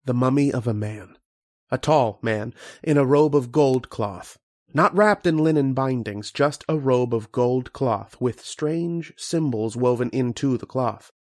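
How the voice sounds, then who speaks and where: A man playing a grave, haunted archaeologist in old-time radio horror, with dramatic, deliberate delivery. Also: medium pitch, darkish tone, lightly acted. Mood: awed, horrified.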